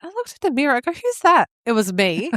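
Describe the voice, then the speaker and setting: A woman's voice: sits high, huge pitch swings, fast, fairly bright tone, dry recording, studio quality. A woman in her forties with an Australian accent, co-hosting a casual podcast and expressively acting out her own reactions. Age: 40s. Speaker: podcast co-host.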